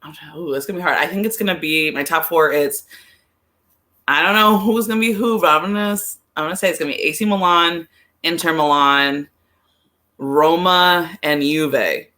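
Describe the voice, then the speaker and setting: A woman's voice: low voice, moderate pace, pushed and bright. A woman in a casual sports chat as a podcast guest, giving her opinions informally and hesitantly, often repeating her phrases. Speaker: podcast guest, soccer pundit.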